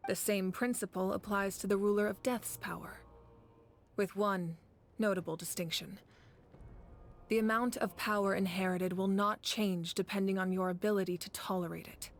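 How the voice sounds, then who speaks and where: An actress playing a calm, dignified warrior leader in a video game, speaking in a measured, firm, formal way. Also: female voice, mid-range voice, a little hiss or hum, lightly acted. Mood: composed, firm. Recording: background music under the voice.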